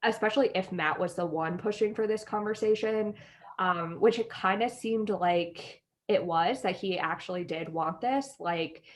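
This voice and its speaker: A young American woman in relaxed podcast conversation. She thinks out loud in a tentative way, circling her point and leaning often on "like". Her voice sits at an average pitch for a woman. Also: normal speed. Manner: tentative, thinking aloud, frequent "like". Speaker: podcast co-host. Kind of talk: casual chat, discussing reality TV.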